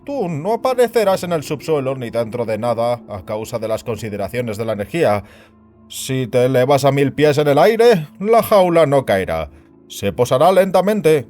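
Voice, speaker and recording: Male narrator as burly disapproving director, high-pitched, very animated delivery, condescending, emphatic, audio story. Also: normal speed, soft background music. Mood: lecturing. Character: overbearing big burly male institute director, perpetually disapproving.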